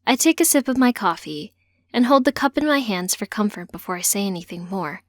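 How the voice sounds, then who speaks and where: A woman's voice: medium pitch, expressive, a little fast, no room sound, studio quality. A woman reading scripted first-person fiction as an audiobook narrator.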